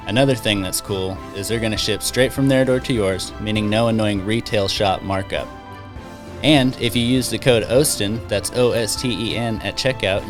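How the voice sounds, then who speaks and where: Casual, slangy male podcast host. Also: on the low side. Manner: laid-back, slangy, swearing, often says bro.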